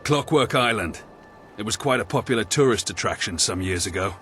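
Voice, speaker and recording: An actor playing a grim, dutiful military commander in a video game, speaking in an earnest, formal, authoritative way. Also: male voice, on the low side, expressive, fairly quick, a bit bright, a little hiss or hum. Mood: reflective. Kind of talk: video game cutscene acting.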